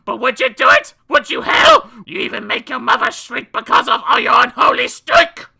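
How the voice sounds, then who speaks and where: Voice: expressive, a little slow, exaggerated voice. The narrator voicing a bossy, greedy old mother who shrieks and scolds. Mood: furious. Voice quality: shrill, shouting.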